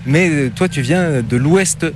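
A man's voice: a little high, slow. A man from France interviewing casually, curious and hesitant, with many restarts. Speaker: interviewer, travel host. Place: in a car. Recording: car and road noise. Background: car and road noise.

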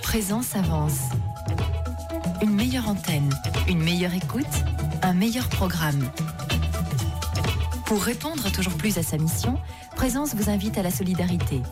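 A woman reading a scripted radio station promo and donation appeal in a clear, persuasive delivery. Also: lowish voice, expressive, unhurried, long pauses. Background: loud background music.

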